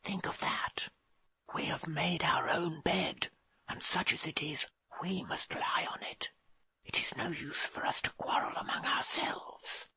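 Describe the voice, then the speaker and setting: A man voicing a young woman who tries to calm a family quarrel in a soft, pleading voice. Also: mid-range voice, slow pace, no room sound. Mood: whispering. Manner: soft, pleading, at times whispering. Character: anxious young woman, a pleading peacemaking daughter.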